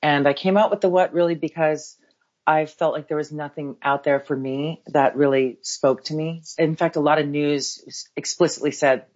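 American woman guest, pretty low voice, chatty, laughs a lot, slightly muffled audio. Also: steady tone, normal speed, darkish tone. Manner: chatty, laughs often, restarts her sentences. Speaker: podcast guest, newsletter founder. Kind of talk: casual interview chat.

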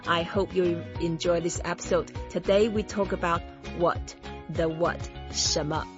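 A woman hosting a podcast, teaching Chinese phrases slowly and patiently and repeating each phrase for her guest. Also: fairly low pitch, steady tone, lo-fi sound, soft background music. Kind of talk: friendly language lesson.

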